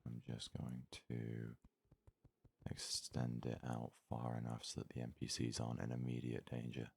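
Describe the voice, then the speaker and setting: A man giving soft-spoken ASMR gaming commentary, murmuring and thinking aloud in an unhurried way close to the microphone. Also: very deep voice, monotone, vocal fry, slightly rough, no echo. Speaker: let's play host.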